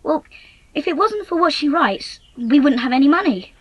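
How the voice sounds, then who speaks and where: A woman voices a young British schoolboy in a storybook dramatisation, high in pitch and lively in delivery. He comes across as adventurous, frank and politely matter-of-fact. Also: moderate pace, dry recording, rough recording. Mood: polite, candid.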